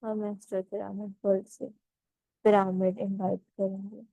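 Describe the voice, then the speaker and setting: A woman leading a guided meditation as instructor, speaking in a measured, gently instructive way with pauses between phrases. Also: mid-range voice, level tone, vocal fry, dry recording, poor audio.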